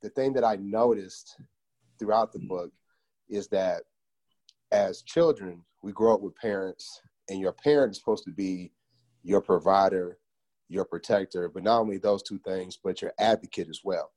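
Male American interview host, slightly low voice, thoughtful, affirming, conversational. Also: steady tone, normal speed, darkish tone. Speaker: interviewer, book podcast host. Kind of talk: conversational interview with reflective comments.

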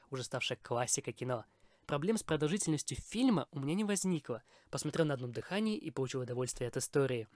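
A man's voice: mid-range voice, no room sound, clean studio sound. A man hosting a podcast, reading a scripted, opinionated film review in formal phrasing.

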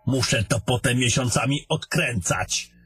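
A man playing an old, haughty, sardonic wizard in a fantasy audio drama, lecturing in a deliberate, grumbling manner over music. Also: medium pitch, a bit bright, breathy edge. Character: old haughty sardonic male wizard, gruff mentor to a soldier.

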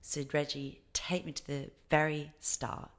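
A podcast host interviewing a guest, chatty and enthusiastic with quick asides. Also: medium pitch, little pitch movement, a bit bright. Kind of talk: casual interview chat.

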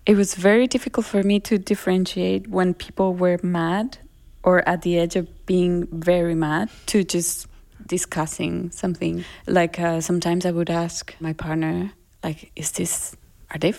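A woman's voice: fairly low pitch, level tone, moderate pace, gravelly, hardly any pauses, a little mellow. A woman from Colombia with a Colombian Spanish accent, a native Spanish speaker, talking thoughtfully with hesitations as a musician being interviewed. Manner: thoughtful, hesitant, laughs often. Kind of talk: interview conversation.

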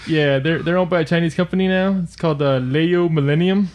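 A man with a notably high voice co-hosts a casual podcast. He rambles through comic book trivia, laughing often and letting sentences trail off. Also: fairly quick, a little mellow. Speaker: podcast co-host. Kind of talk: casual chat about comics.

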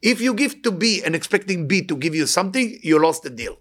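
Higher-pitched Israeli man giving a spiritual talk. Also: very animated, brisk, a bit bright. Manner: emphatic, repeats short phrases. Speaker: spiritual teacher. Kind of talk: inspirational talk with anecdotes to a live audience.

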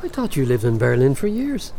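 A man's voice: medium pitch, wildly swooping pitch, fairly quick, vocal fry, dark tone. An actor playing a chatty, jokey Irish man who is out of his depth abroad, in a naturalistic radio drama. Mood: curious, surprised. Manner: jokey, casual. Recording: street ambience with faint vehicle noise. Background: faint street noise.

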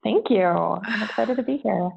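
American woman, creaky and slightly husky. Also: mid-range voice, moderate pace, darkish tone, highs cut off. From United States. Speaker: podcast guest. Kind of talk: candid personal storytelling in an interview. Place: at home, remote podcast call.

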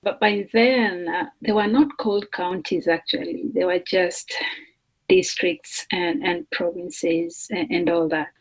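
A woman from Kenya, a doctoral student, talking slowly and thoughtfully with fillers as a guest on a remote podcast interview. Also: fairly low pitch, gravelly, husky, low-quality recording. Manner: slow, thoughtful, with fillers and restarts. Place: remote call.

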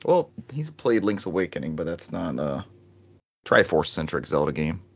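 Low-voiced man hosting, dry and slightly dull. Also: big pitch swings, normal speed, slightly rough, dry recording. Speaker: podcast host. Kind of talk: casual joking banter on a gaming podcast.

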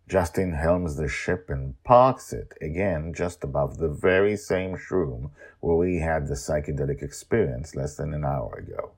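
A man hosting a story podcast, narrating scripted fiction in a theatrical way with dramatic pauses and swoops. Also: extremely low pitch, expressive, creaky, breathy edge.

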